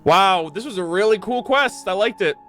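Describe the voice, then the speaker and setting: A woman's voice: medium pitch, lively intonation, moderate pace, a bit bright. A young woman giving casual, exclamatory and slangy live commentary as a game streamer on a close mic. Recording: game music underneath.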